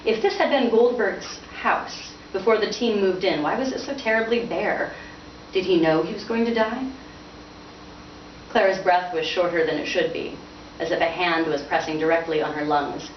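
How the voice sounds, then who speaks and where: A woman's voice: fairly low pitch, moderate pace, dull audio. A woman author speaking at a bookstore reading, in the style of an informal book event. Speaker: author reading from own novel. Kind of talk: informal book talk and dramatic prose reading. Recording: roomy, distant, noisy bandlimited event recording.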